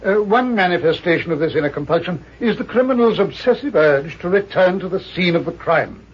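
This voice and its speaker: Pompous doctor sleuth, old radio. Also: male voice, high-pitched, lively intonation, a little fast, slightly rough. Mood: lecturing. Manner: pompous, pedantic, hesitant "uh" mid-sentence. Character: shrewd, haughty male doctor sleuth, coolly condescending. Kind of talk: old-time radio mystery drama acting. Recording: dull, muffled old radio recording.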